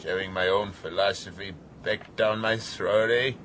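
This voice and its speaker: An actor playing a menacing, defiant sea captain in a radio drama, commanding and sardonic. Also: male voice, fairly low pitch, steady tone, unhurried, fairly bright tone. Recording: faint sea and boat noise behind.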